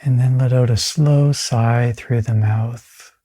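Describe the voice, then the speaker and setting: A man leading a guided meditation in a calm, soothing way, with a soft, breathy voice and pauses between phrases. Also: medium pitch.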